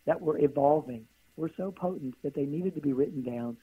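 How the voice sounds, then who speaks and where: A hoarse woman, a guest author on a radio interview, who answers in long flowing runs of stacked phrases. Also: low-pitched, brisk, no echo. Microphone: phone call. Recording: tinny phone line.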